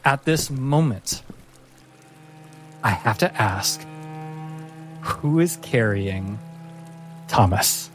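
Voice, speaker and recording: Slow, suspenseful male game master over music. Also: medium pitch, expressive, fairly bright tone. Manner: suspenseful, deliberate phrasing. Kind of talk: dramatic tabletop game narration.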